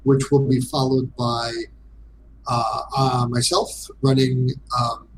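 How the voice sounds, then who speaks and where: A man giving a casual interview as a game designer guest, speaking hesitantly with frequent pauses. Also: mid-range voice, level tone, a little hiss or hum, poor audio.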